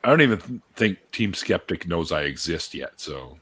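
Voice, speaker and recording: A man's voice: low-pitched, big pitch swings, normal speed, a bit bright, husky. A Canadian man hosting a casual livestream chat over a video call, joking and laughing often. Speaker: host.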